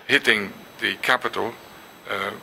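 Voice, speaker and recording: Older man, native Dutch speaker, lecture hall, very bright tone, faint background noise, halting delivery. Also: fairly low pitch, fairly even intonation, gravelly. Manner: hesitant, many ums and restarts. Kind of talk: symposium lecture with reading aloud.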